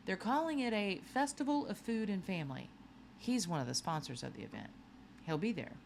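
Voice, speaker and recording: A woman's voice: medium pitch, lively intonation, a little fast, gravelly, a little hiss or hum, pitched above the performer's own voice. A woman voicing an eager, clever boy who works as a secret agent in a children's storybook.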